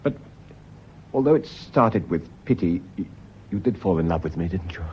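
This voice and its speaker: A dull, faintly hissy radio play recording. an earnest British schoolmaster, his pitch swinging widely as he coaxes and reassures with a tender but nervy, theatrical delivery. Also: animated, normal speed. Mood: tender, teasing. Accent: British English. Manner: persuasive, reassuring, by turns tender and exasperated. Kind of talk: British stage-style radio drama acting.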